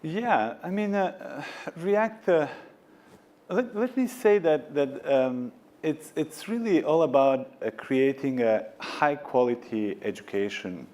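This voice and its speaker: A man's voice: a little high, expressive, slow pace, darkish tone. A man from the former Yugoslavia speaking on a conference panel, hesitant, with many fillers and restarts. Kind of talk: panel discussion. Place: conference stage.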